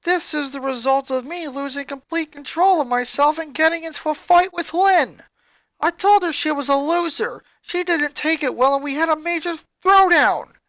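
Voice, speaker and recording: A woman acts out a young boy with a very high voice, hurt and bitter, pouring out his grievances with emphatic emotion. The sound is dry and close, like a dramatic story reading. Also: moderate pace, a bit bright, dry recording. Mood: agitated, upset. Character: angry, hurt young boy venting about his family. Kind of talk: dramatic fan fiction character reading.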